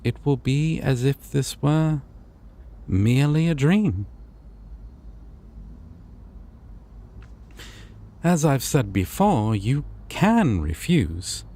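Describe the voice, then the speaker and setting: A man playing a courteous, solemn god of dreams in a fantasy audio roleplay, with formal, deliberate phrasing. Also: medium pitch, big pitch swings, warm tone. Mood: calm, formal.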